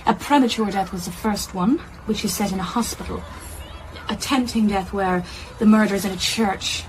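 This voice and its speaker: An actress playing a brisk, bookish, teasing childminder with a warm heart, in natural radio drama dialogue over clear background noise. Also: female voice, medium pitch, lightly acted, rough recording. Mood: bookish, probing.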